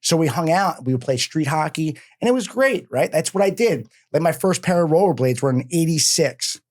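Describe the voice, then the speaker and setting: Energetic Philly man telling his story. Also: a little high, normal speed. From Philadelphia. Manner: energetic, rambling, filler-heavy. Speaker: guest. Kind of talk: casual interview talk. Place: podcast studio.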